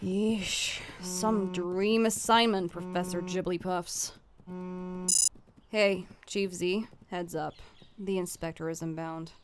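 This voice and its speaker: A woman voicing a deadpan, slyly polite, weary school aide in an animated comic dub. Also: fairly low pitch, takes it slowly. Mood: dry, weary. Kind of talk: animated fandub voice acting.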